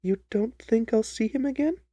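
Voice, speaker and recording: A man's voice: very high-pitched, moderate pace, dark tone, dry recording, studio quality. A man voicing an earnest, trusting, distressed young jilted bride in a dramatised story reading. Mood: anguished. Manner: earnest, wordy, pleading.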